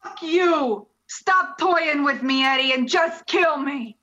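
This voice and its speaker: A woman's voice: sits high, slow pace, sharp tone, highs cut off, heavily acted. A woman playing a brash, taunting female snitch in a parody of a 1930s gangster movie. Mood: defiant, taunting. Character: defiant, tough-talking female gangland snitch.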